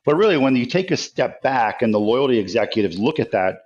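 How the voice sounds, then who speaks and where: A man, an interview guest, explaining his work in a relaxed conversational way with many fillers. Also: medium pitch, a little fast, highs cut off. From New Orleans area, US Gulf Coast. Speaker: guest, tech company executive. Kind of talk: interview answers.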